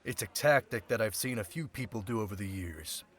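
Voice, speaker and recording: A man's voice: lowish voice, lively intonation, a little fast, lightly acted. A man playing a sly, centuries-old thief turned security specialist, talking in a casual, teasing way in an audio roleplay drama. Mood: businesslike, confiding. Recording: loud crowd chatter behind voice.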